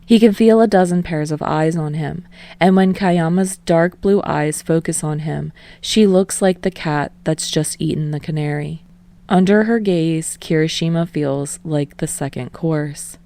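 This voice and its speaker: A woman reading a story aloud in an expressive, scripted narration style. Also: deep voice, unhurried, dry recording. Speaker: audiobook reader of fan fiction.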